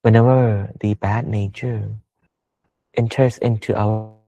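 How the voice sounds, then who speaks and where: A man leads a guided meditation in a measured, pausing delivery. His pitch barely moves from phrase to phrase. The sound is very dry and close, though a little dull and lo-fi. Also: fairly even intonation, warm tone, no room sound. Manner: slow, measured, pausing between short phrases. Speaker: Buddhist meditation teacher. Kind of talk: guided meditation talk with Buddhist chanting.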